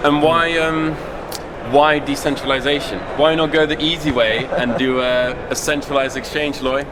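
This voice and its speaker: A man's voice: on the high side, steady tone, moderate pace, noisy background. A man interviewing a founder, asking casual questions and chiming in with short acknowledgements.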